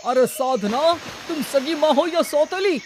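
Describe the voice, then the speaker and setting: A woman's voice: high voice, unhurried, a little mellow, a little hiss or hum. A woman voicing a protective, skeptical middle-aged father in a cartoon story, with a questioning, protesting manner. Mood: outraged. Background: background music.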